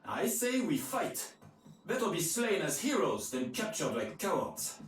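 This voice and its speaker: A proud warrior knight in a video game. His fairly high voice swings in pitch and sounds far off in a large echoing space. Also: sing-song, unhurried. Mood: defiant. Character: proud, defiant fighting knight. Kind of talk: dramatic video game cutscene acting. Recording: distant, very echoey, faint music.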